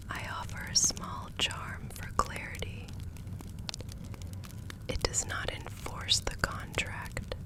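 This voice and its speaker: A woman playing a serene, gentle magical law consultant in an ASMR fantasy roleplay, speaking softly in careful, measured, soothing phrases. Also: natural voice.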